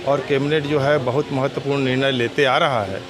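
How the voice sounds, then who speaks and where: Measured male politician amid crowd chatter. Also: mid-range voice, steady tone. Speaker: politician, state legislator. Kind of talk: formal answers to a reporter.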